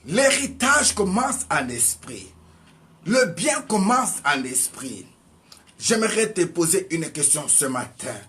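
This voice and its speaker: High-voiced preacher exhorting. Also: male voice. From Congo. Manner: exhorting, rhetorical questions, swings between loud and soft. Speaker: evangelist preacher. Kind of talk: sermon.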